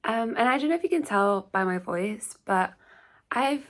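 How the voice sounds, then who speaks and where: A woman's voice: on the high side, lively intonation, normal speed. A young woman, congested from a cold, chatting casually and rambling through a get-ready-with-me vlog at home. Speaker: beauty vlogger. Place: at home, shared house.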